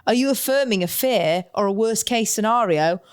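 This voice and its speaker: A woman co-hosting a casual, comedic podcast from a flat, jokey and effusive, swearing casually. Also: a little high, normal speed, clean tone. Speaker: podcast co-host.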